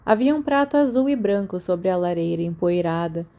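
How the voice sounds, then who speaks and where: A woman's voice: on the low side, animated, normal speed, warm tone. A Brazilian woman reading a story aloud as scripted audiobook narration. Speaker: podfic narrator.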